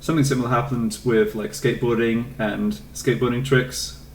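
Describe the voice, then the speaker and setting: Relaxed young Englishman chatting with stream chat. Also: medium pitch, brisk, roomy sound, far from the mic, slight background noise. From England. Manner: relaxed, rambling, reads and answers chat. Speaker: game streamer. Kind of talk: casual chat with live chat while playing.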